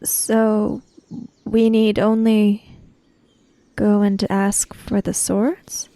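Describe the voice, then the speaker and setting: A woman roleplaying a formal, reserved traveller in a samurai fantasy tabletop game, speaking in careful, measured phrases. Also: medium pitch, level tone, mellow, dry recording. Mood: measured, pensive. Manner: formal, measured, avoids contractions. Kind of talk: in-character roleplay dialogue.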